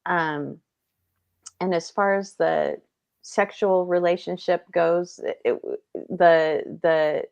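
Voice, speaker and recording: A woman with a distinctly low-pitched voice chats as a panelist about books. Her delivery is casual and matter-of-fact, with firm opinions. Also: steady tone, slow, gravelly, a little mellow. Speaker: book discussion panelist. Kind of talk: casual book discussion.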